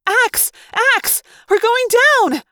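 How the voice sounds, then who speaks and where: A woman voicing a bold, fierce teenage girl fighter with loud, forceful exclamations, in an audiobook story. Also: extremely high pitch, animated, extremely slow, no room sound, pitched above the performer's own voice, full character voice. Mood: alarmed shouting.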